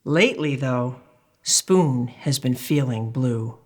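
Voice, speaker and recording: A woman's voice: extremely low pitch, slow pace, darkish tone. An older woman reading a children's picture book aloud expressively, as a storyteller.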